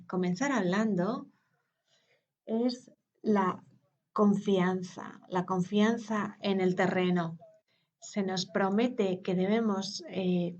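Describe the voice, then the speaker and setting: A woman, interpreting a conference talk live, hesitant with pauses and filler sounds. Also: mid-range voice, darkish tone, dull audio.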